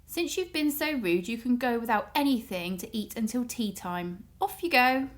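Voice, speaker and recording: Stern kettle lady, acted by a woman reader, bossy, scolding, raised pitch, storybook style. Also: expressive, normal speed, close to an everyday voice. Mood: cross. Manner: firm, telling off.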